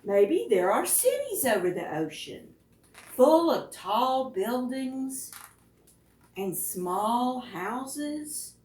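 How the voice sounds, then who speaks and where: An unhurried woman with a highish, lively voice reads picture books to small children. She often turns to them with questions, expressive throughout, as a children's librarian does at storytime. The sound is echoey and set back from the microphone. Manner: expressive, addresses young listeners with questions. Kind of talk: picture-book read-aloud for preschoolers. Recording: roomy echo, distant microphone.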